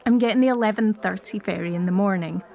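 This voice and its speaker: A woman's voice: medium pitch, fast talker, vocal fry, dark tone, natural voice. An actress playing a prickly, sardonic woman in a naturalistic audio drama, speaking bluntly. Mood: teasing. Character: prickly, sardonic Scottish woman wheelchair user named Elsa.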